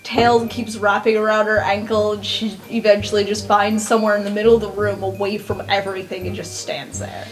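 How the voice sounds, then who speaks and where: A woman's voice: mid-range voice, little pitch movement, a little fast, hardly any pauses, soft background music, poor audio. A woman player in casual, improvised tabletop roleplay, describing her character's actions and speaking for it.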